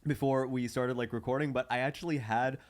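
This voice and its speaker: A man chatting casually on a comedy podcast, telling personal stories in an animated, halting way and repeating words. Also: medium pitch.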